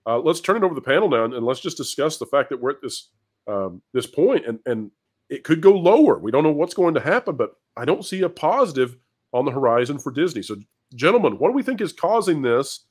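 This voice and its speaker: A man's voice: a little high, very animated, fast talker, darkish tone, breathy edge. A man hosting commentary and panel talk, with an emphatic, wordy presenter's delivery. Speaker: host of an entertainment business news channel.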